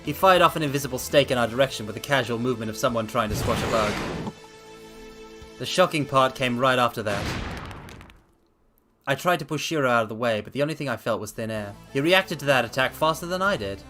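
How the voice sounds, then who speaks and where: A man's voice: medium pitch, expressive, fairly quick, pushed and bright. A man streaming a visual novel, reading the narration aloud theatrically and adding casual asides. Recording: faint game music behind voice. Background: game soundtrack.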